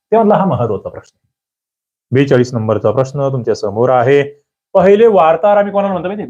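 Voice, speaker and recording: Guy teaching exam prep, slightly high voice, keeps firing questions, dull lo-fi sound. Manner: lively questioning, quizzes the listeners and answers himself; frequent throat clearing. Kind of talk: quiz-style exam prep lecture.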